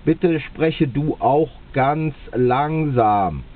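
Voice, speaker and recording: A man with a German accent making a two-way radio contact, speaking slowly and deliberately and repeating himself. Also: mid-range voice. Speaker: radio operator. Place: indoors at a radio set. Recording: tinny, band-limited camera capture at the radio.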